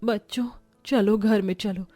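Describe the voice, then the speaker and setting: A woman's voice: medium pitch, fairly even intonation, a little slow, warm tone, no echo, soft background music, natural voice. A woman voicing a meek, plaintive young daughter-in-law from a poor household.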